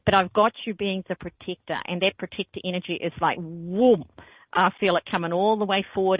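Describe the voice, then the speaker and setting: A woman's voice: fairly low pitch, dry recording. Woman radio host doing psychic readings, playful and jokey, dry close sound, narrow phone-like audio. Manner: playful, jokey, laughs often. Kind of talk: casual call-in radio chat.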